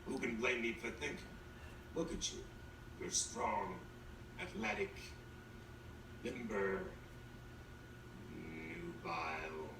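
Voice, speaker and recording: An actor playing a lecherous, wisecracking ventriloquist dummy come to life in a TV drama, with sleazy, brash banter. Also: male voice, on the low side, huge pitch swings, takes it slowly, long pauses, heavily acted. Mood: leering. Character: lecherous wisecracking living ventriloquist dummy, old demon hunter. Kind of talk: television drama acting. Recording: roomy, distant playback with clear noise.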